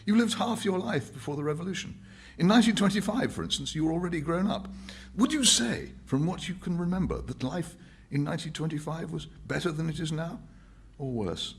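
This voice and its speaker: A man's voice: fairly high pitch, expressive, brisk, gravelly, husky, voice pushed up, heavily acted. A man voicing an earnest, patient questioner who presses his points articulately in a novel reading. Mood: patient, pressing.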